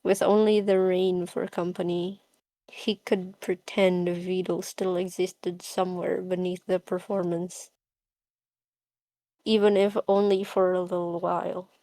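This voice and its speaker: A woman's voice: on the low side, little pitch movement, a little slow, dark tone, no echo, poor audio. A woman reading a fanfic aloud and then chatting casually in a group voice call, laid-back and giggly. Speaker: fanfic reader.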